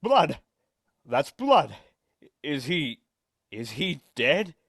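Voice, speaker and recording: A man's voice: on the high side, very animated, extremely slow, gravelly, a bit bright, breathy edge, exaggerated voice. A man playing a penny-pinching, anxious property owner in old-time radio suspense style, curt, skeptical and fretful. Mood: agitated.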